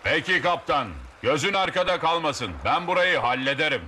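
A brisk, dependable cartoon first mate. The voice is fairly high and forceful, with faint noise and ambience behind it. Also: male voice. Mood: obliging. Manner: brisk, calling out orders. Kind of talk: dubbed cartoon acting.

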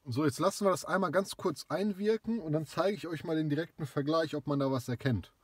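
A young man hosting a casual product review in his home garage, relaxed and chatty with frequent fillers. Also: fairly high pitch, a little fast, husky. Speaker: car care channel host. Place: small home garage, indoors.

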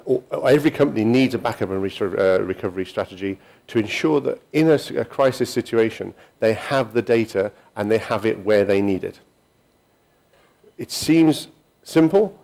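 A middle-aged man giving a technical conference talk in a hall, pausing often with ums and restarting sentences. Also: mid-range voice, darkish tone. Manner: halting, many ums and restarts. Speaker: conference speaker.

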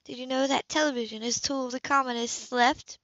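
A woman's voice: sits high, steady tone, fast, a bit bright, no echo, voice pushed up. A woman reading the part of a wry, bold woman social worker with teasing banter in a romance audiobook. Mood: wry, joking. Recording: dull lo-fi home recording.

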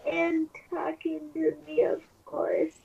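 A woman from the United States in an intimate couple roleplay, cooing in a drawn-out, babyish way on a lo-fi recording. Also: very high voice, monotone, gravelly. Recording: muffled playback of a lo-fi video.

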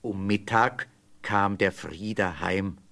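A man narrating a fairy tale from a script as an expressive storyteller. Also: low voice, slow pace, gravelly.

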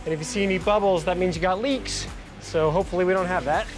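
A man's voice: high voice, fairly even intonation, quick pace, over music. A man in his thirties hosting a casual how-to video, chatty and joking, filmed in a garage and outside a house. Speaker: DIY video host. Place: garage and outdoors beside a house.

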